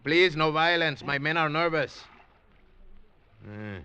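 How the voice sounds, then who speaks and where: A man playing a smooth, menacing Central American gun buyer in a 1940s radio drama, speaking in a silky, measured way. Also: a little high, animated, a little hiss or hum, exaggerated voice. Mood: cool, menacing. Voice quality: silky, measured, quietly threatening. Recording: dull lo-fi 1940s radio broadcast.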